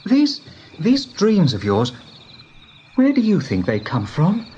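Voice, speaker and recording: A woman playing a thoughtful, gentle, devout rabbit in an eighties radio drama. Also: fairly low pitch, wildly swooping pitch, slow, full character voice. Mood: pensive. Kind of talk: 1980s radio drama acting. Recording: dull, faintly noisy old radio tape.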